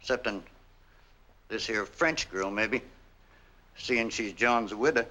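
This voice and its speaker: An actor playing an older, folksy and defensive family servant in a 1940s film, with rustic, ungrammatical speech. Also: male voice, lowish voice, takes it slowly, pushed and bright. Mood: reflective. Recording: vintage 1940s film soundtrack.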